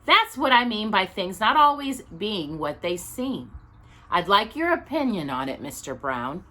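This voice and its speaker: A man's voice: high-pitched, sing-song, unhurried, pushed and bright, exaggerated voice. A woman voicing a proud, kindly older male shopkeeper with a secretive streak in a children's storybook. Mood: proud, delighted.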